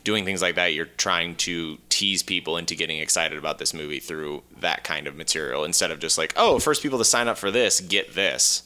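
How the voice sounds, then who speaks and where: A man's voice: low voice, animated, a little fast, few pauses, sharp tone, clear, resonant tone. A man co-hosting a podcast, explaining his views on films casually with hedged, loose phrasing. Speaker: podcast co-host. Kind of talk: casual film discussion.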